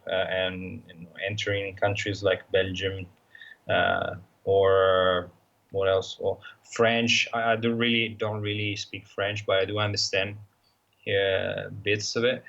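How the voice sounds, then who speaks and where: Italian-accented man, gravelly voice, hesitant with lots of fillers, dull lo-fi recording. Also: deep voice, level tone, measured pace, a bit bright. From Italy. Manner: hesitant, many fillers and restarts. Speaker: guest, motorcycle traveler. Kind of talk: casual interview talk.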